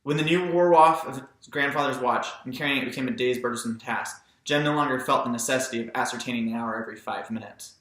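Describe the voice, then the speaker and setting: A man reads a novel as an amateur narrator, dramatizing it as he goes. His voice is bright and sits at a medium pitch. The room is heavily echoey. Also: fast talker, few pauses. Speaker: amateur audiobook reader.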